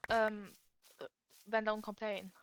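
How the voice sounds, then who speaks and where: A woman's voice: mid-range voice, little pitch movement, takes it slowly, a little hiss or hum. A young woman chatting bluntly and sarcastically with a friend during a game. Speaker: gaming friend. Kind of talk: casual gaming chat.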